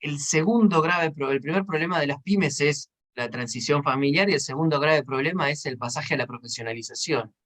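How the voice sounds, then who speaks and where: Argentine guy giving a seminar, kinda high voice, chatty explaining, keeps checking in. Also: a little fast, hardly any pauses, a bit bright. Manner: conversational, explanatory, checks in with short tag questions. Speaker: seminar instructor, consultant. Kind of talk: informal seminar teaching with examples.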